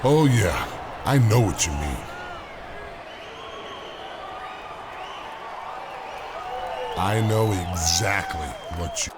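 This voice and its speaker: A man's voice: on the low side, big pitch swings, measured pace, music in the background, a crowd in the background. A man playing a cocky, dismissive big pro wrestler in a video game cutscene, with a smug, swaggering manner. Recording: noisy game cutscene audio.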